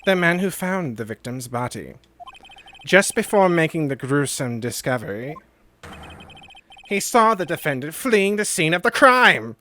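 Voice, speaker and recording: A man's voice: a little high, big pitch swings, unhurried, bright, forceful tone, slight background noise, pitched below the performer's own voice, full character voice. A man voicing a smug, condescending prosecutor in a courtroom video game, speaking formally and pointedly. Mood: accusatory. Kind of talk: video game role-play.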